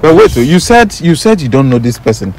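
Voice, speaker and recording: A man's voice: a little high, big pitch swings, a little fast, a little mellow. A young Nigerian man playing a scornful prankster in a street drama, confronting and repeating his questions. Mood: challenging. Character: pushy, scornful male prankster confronting a woman. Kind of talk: improvised prank drama dialogue. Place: outdoors on a street beside a van. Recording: faintly noisy outdoor street phone video.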